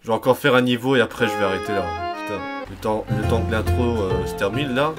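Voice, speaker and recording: A man in his thirties giving exasperated, sweary, mocking commentary on a game at home, over loud music. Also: lowish voice, fast. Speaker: gaming video host. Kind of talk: casual reaction commentary on a game. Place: small room at home.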